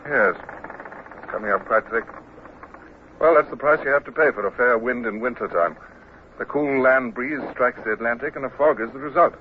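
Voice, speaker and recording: An actor playing a polite young British sloop captain in a radio drama, courteous and stiffly formal. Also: male voice, mid-range voice, moderate pace, pauses often, natural voice. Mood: composed. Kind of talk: vintage radio drama acting. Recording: tinny old radio recording with hiss.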